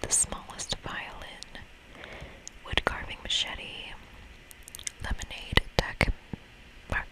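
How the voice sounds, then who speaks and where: A host whispering softly and chattily through an ASMR video game playthrough, speaking without hurry. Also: slow, long pauses, rough recording.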